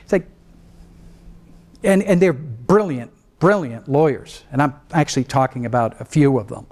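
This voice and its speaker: A middle-aged man, a trial lawyer, lecturing law students in an animated way, swinging between a low calm voice and sudden raised mimicry. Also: moderate pace. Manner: animated, mimics other lawyers with rising pitch, then drops low to show restraint.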